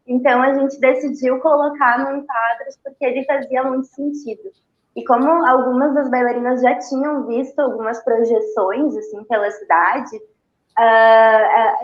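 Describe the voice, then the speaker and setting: A Brazilian woman, a dancer, answering informally as an interview guest. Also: on the high side. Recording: dull, roomy lo-fi sound.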